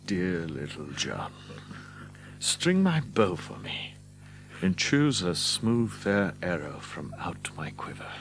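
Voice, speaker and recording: An actor playing a dying, aging outlaw leader in an audio drama, weary and tender, with a weak, whispery voice and solemn, halting speech. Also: male voice, deep voice, very animated, a little hiss or hum, heavily acted. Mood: fading, wistful.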